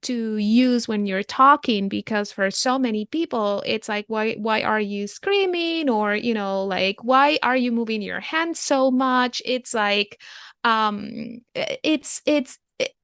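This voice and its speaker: A woman's voice: fairly high pitch, slow pace, fairly bright tone, clear, resonant tone, dry recording, clean studio sound. A young adult woman, a therapist, talks through ideas thoughtfully as an interview guest, often restarting and asking questions back. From immigrant. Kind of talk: reflective interview conversation.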